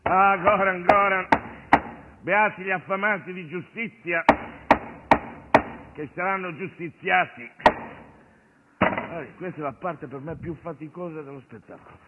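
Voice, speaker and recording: A man's voice: high-pitched, a little slow, pauses often, very noisy. A man performing a theatrical stage monologue, moving between wry, offhand asides and solemn declamation. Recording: thin phone-like sound with loud music. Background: live guitar music.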